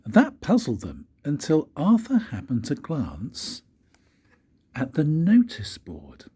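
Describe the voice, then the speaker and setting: An older man, a grandfather, reading a children's story aloud in a husky, breathy voice with expressive, dramatic pauses. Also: a little high. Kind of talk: storybook read-aloud narration.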